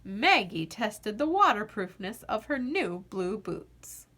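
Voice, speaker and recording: A woman reading a children's picture book aloud as an expressive narrator, with deliberate phrasing and dramatic pauses. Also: medium pitch, slight background noise. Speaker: children's storybook reader.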